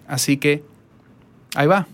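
Chatty Ecuadorian man with a slightly high voice. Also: measured pace. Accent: Guayaquil Spanish. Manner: chatty, enthusiastic introductions with frequent fillers. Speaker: podcast host and voice-over artist. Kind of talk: casual interview podcast. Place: home recording studio. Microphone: portable recorder.

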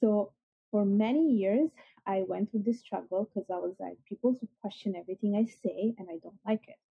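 A woman's voice: mid-range voice, moderate pace, vocal fry, slightly rough, dry recording. A young woman with an Italian accent, a guest talking earnestly in an interview with many fillers. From Italy. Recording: tinny phone-like call audio.

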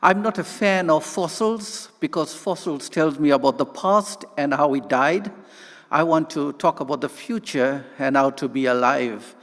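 A South African man preaching with fervor, his voice sitting high and stumbling into restarts as he builds a point. The sound carries a long reverb from a big church hall. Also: measured pace, a bit bright. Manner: passionate, rambling with restarts and laughs. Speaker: guest preacher. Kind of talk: sermon.

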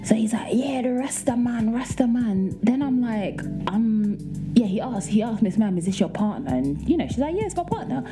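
A woman with a light, soft voice, hosting a podcast and telling a story in casual, playful chat. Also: a little high, moderate pace, over music. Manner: animated, playful, breaks into singing and laughter. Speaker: podcast host.

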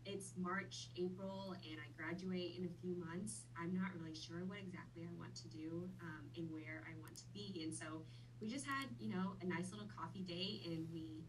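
A woman's voice: lowish voice, moderate pace, far from the mic, noisy background. A woman in her twenties, born in Vietnam, chatting easily as a podcast guest with plenty of fillers. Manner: friendly, easygoing, many fillers. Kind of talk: casual interview chat.

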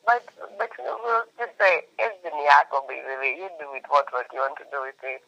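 A man's voice: a little high, moderate pace, gravelly, sharp tone, muffled recording. A man, co-hosting a casual chat in a playful, joking way and laughing often. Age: 30s. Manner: playful, laughs often, mixes Swahili and English. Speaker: podcast co-host.

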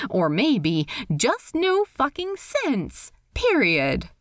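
A woman narrating a story aloud as an expressive audiobook reading. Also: a little high, dry recording, clean studio sound. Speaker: fan fiction reader.

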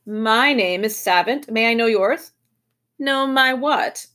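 A woman acts out a silly, pear-shaped male creature from a children's storybook. He is mournful and absent-minded, drawing his words out slowly in a high, dopey sing-song. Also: bright, forceful tone. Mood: vague, absent-minded.

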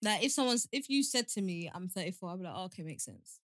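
A woman's voice: medium pitch, lively intonation, fast talker, no echo, clean studio sound. A British woman in her thirties co-hosting a podcast in casual chat, with a playful, teasing manner. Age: 30s. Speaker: podcast co-host.

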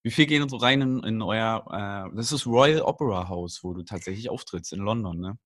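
A man with a low voice hosts a podcast in a dry, close-miked sound. He jokes and teases playfully as he introduces a guest. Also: brisk, a bit bright, no echo. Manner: jokey, playful. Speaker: podcast host. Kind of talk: casual podcast chat.

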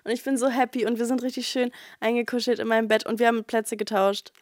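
A fairly high-pitched young woman chats as a podcast host, teasing her guest and tossing in English slang. She sounds a little under the weather. Her voice is close and dry, with no room sound. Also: fairly even intonation, quick pace, no echo. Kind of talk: casual podcast chat. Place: her bedroom, sitting in bed.

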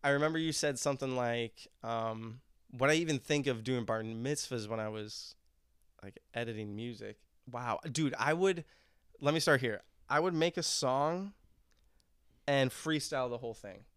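Laid-back young man, smooth voice. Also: mid-range voice, moderate pace, a bit bright, no echo, studio quality. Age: young adult. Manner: laid-back, rambling, laughs often. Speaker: musician guest. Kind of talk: casual podcast chat.

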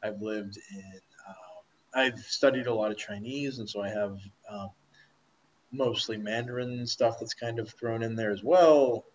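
A man's voice: lowish voice, fairly even intonation, measured pace. A man in a casual chat, explaining at length in a talkative way with fillers and restarts. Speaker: conversation partner.

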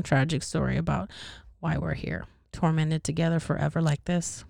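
A woman's voice: fairly low pitch, vocal fry, mellow, no room sound, clean studio sound. A woman voicing a bitter, tormented count condemned to hell, telling his story in an aggrieved, vulgar, conversational way. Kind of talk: dramatic audiobook-style reading.

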